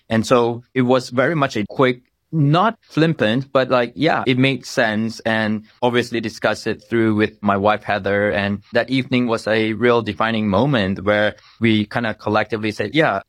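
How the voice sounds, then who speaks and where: A man's voice: medium pitch, a bit bright, no room sound, clean studio sound. A man from Malaysia telling his personal story in an earnest, reflective, conversational way. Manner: earnest, thoughtful. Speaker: interview guest, kidney donor.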